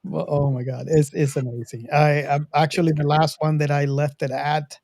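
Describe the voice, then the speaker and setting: A man hosting a podcast in a casual, chatty style, talking in a halting, meandering way with emphatic sentence openings. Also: fairly high pitch, little pitch movement, gravelly, a little mellow, no echo, studio quality. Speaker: podcast host. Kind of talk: casual hobby chat.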